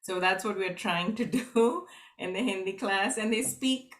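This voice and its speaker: A woman's voice: mid-range voice, a little slow, crowd noise. A woman language teacher giving friendly, casual remarks over a video call, with fillers. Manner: friendly, with light laughs and fillers. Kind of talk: casual remarks in a virtual meeting.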